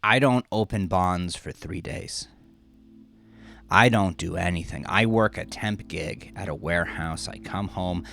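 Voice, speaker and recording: A man narrating a horror story in the first person, with a brooding, halting, intense confessional delivery. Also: sits low, gravelly, fairly bright tone, faint music behind. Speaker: narrator and author.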